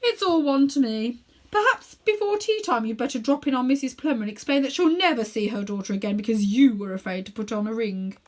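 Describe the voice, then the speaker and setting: A woman's voice: a little high, fairly quick, pitched above the performer's own voice, close to an everyday voice. A woman voicing a pompous, cruel old uncle who dabbles in magic, condescending and smug, in a children's storybook reading. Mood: scornful, goading. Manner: haughty, condescending, coolly smug.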